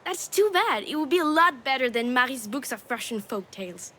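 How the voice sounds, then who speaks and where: A woman's voice: high-pitched, lively intonation, a little fast, sharp tone, slight background noise. An actress voicing a cheeky, affectionate young daughter in a video game, with a playful, girlish, teasing manner. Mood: teasing, encouraging. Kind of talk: naturalistic video game drama acting.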